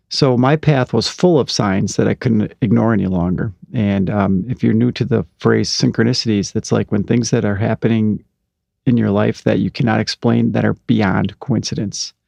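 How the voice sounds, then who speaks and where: A middle-aged man hosting a podcast, talking in a calm, unhurried way and reading aloud from his own blog post. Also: on the low side, vocal fry, no room sound. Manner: calm, slow and unhurried, with fillers. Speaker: podcast host.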